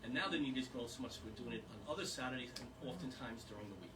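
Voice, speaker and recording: A man's voice: mid-range voice, animated, quick pace. Earnest man talking to a small audience. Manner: earnest, rambling with fillers. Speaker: volunteer speaker. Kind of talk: informal talk to an audience. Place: small historic house meeting room. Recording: distant mic with background noise.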